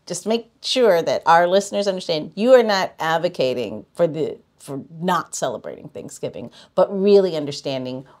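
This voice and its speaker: A woman's voice: fairly low pitch, lively intonation, normal speed, hardly any pauses, a little mellow. A woman hosting a podcast in a casual, conversational way. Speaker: podcast host.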